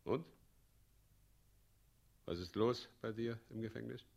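An actor playing a pompous, bullying, gloating tyrant president in a German radio drama, with an imperious, mocking manner. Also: male voice, fairly low pitch, takes it slowly, gravelly, darkish tone. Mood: curt, probing. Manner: imperious, mocking, abrupt commands.

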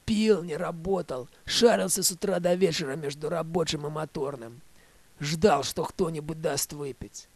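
A man's voice: fairly high pitch, moderate pace, husky, no echo. A man voicing a scornful woman in her thirties who nags her man with blunt, scolding questions in an audio play. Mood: scornful, exasperated.

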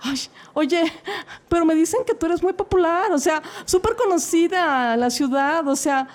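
A woman playing a haughty, mocking posh lady in a Mexican radio comedy sketch, with a drawn-out whiny upper-class drawl. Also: very high-pitched. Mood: disdainful.

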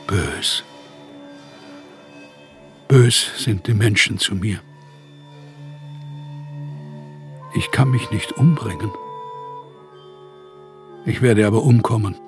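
A man's voice: lowish voice, slow, long pauses, soft background music. An actor playing a despairing, exhausted writer in exile, reading his letters in a radio play with weary, heavy diction.

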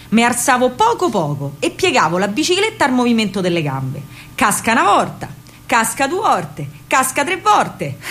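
A woman's voice: medium pitch, close to an everyday voice. An actress playing a spirited, rebellious young working-class Roman woman, telling her story colloquially in a theatrical radio monologue with a Roman accent. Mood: amused recollection. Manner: colloquial, vivid, ironic storytelling.

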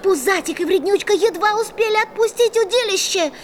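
A woman narrating a children's fairy-tale audio play in an expressive storybook style. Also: very high-pitched, fairly quick, heavy background noise.

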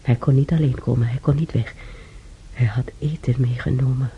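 An older woman acting an ailing, weary old woman reminiscing alone in a radio drama, halting and sighing, with a breathy voice. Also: extremely low pitch, fairly even intonation, exaggerated voice. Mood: fond reminiscing. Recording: dull radio recording, faint noise.

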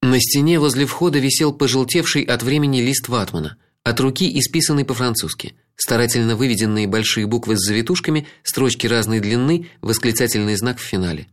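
A man's voice: mid-range voice, brisk, hardly any pauses. A man reading a first-person story aloud, reflective and ironic. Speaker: audiobook narrator. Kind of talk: first-person literary narration.

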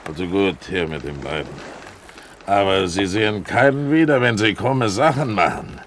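An actor playing a coarse, drunken, suspicious pirate captain in a German radio play, gruff and sneering. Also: male voice, low-pitched, moderate pace, lightly acted. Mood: surly, suspicious. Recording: noisy old radio play recording.

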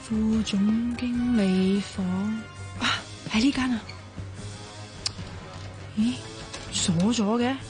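Feisty Hong Kong Cantonese amateur sleuth for radio drama, blunt, suspicious and exclamatory. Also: female voice, on the high side, fairly even intonation, very slow, pauses often, over music. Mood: sneaky, excited. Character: feisty, suspicious, impulsive young woman turned amateur sleuth.

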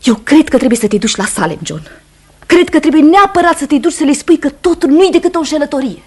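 An actress playing a grave, wounded, mistrustful farmer's wife, restrained and earnest in Romanian radio theatre style. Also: female voice, sits high, animated, a little mellow. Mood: urging.

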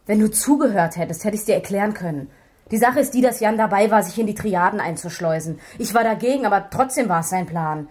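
A woman's voice: medium pitch, fast talker, darkish tone, natural voice. Actress as a level-headed woman detective. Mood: frustrated. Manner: reasoning, pointed. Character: level-headed, frustrated female police investigator. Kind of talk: radio crime drama acting.

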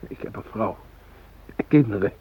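An actor in a radio drama playing an ordinary captive family man, shaken but protesting in halting, plain-spoken phrases. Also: close to an everyday voice. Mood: pleading. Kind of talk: radio drama acting, naturalistic. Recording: dull lo-fi radio drama recording.

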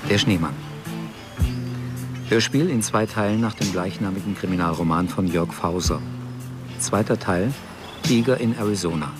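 A man reading scripted radio drama credits as an announcer, formal and measured. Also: low-pitched. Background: music.

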